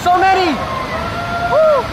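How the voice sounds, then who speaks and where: A young man chatting excitedly into a handheld action camera outdoors in a river canyon while vlogging his trip. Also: a loud crowd. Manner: excitable, shouting greetings, pitch jumping up when thrilled. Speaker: travel vlogger. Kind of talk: casual vlog chatter. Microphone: handheld action camera at arm's length. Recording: loud rushing water and outdoor noise.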